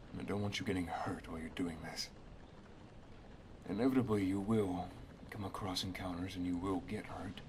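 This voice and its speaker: Man acting as protective wizard mentor, low voice, soft and whispery, affectionate, instructive, intimate ASMR roleplay, noisy ambient recording. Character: protective, affectionate male wizard master teaching his apprentice and lover. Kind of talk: ASMR roleplay, intimate second-person monologue.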